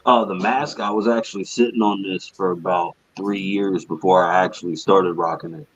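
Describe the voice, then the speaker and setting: A raspy male musician guest answering interview questions in a laid-back way, often saying "you know what I mean.". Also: low voice, fairly even intonation, normal speed, dry recording, lo-fi sound.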